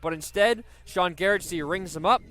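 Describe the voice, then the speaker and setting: A man's voice: sits high, normal speed, bright, forceful tone, soft background music. A man doing live radio play-by-play commentary of a baseball game.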